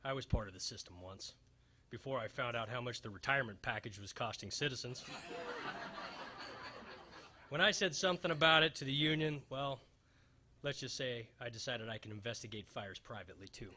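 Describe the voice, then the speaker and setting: Man acting a bright-voiced hapless gumshoe. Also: medium pitch, a little fast, long pauses, a little hiss or hum, close to an everyday voice. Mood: wry. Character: wisecracking, hapless male private fire investigator narrating a noir spoof. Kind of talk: old-time radio detective spoof, staged reading.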